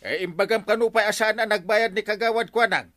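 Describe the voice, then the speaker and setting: An actor playing a scolding village captain in an Ilocano radio comedy, exclaiming and lecturing with an Ilocano accent. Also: male voice, fairly quick, pushed and bright. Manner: exclaims and scolds with wild pitch swings. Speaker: barangay captain.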